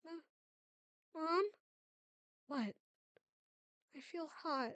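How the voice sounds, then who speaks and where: A woman's voice: high-pitched, drawn-out words, fairly bright tone, dry recording, voice pushed up, full character voice. A woman voicing a weak, frightened little girl in a dramatized story reading, small and whispery.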